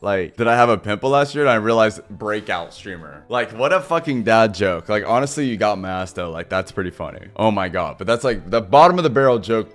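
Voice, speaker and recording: A young man streamer talks loosely in a medium-pitched voice. He rambles and pokes fun at himself, swearing freely, and now and then trips over a word because of a stutter. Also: a bit bright. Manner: rambling, self-mocking, swears often; says he has a stutter. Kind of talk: casual livestream chat.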